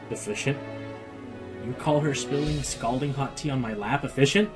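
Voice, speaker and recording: A man's voice: medium pitch, a little slow, natural voice. A man playing a grumpy, sarcastic pegasus radio broadcaster in an audio drama, sounding exasperated. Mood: irritated. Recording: distant from microphone, music underneath.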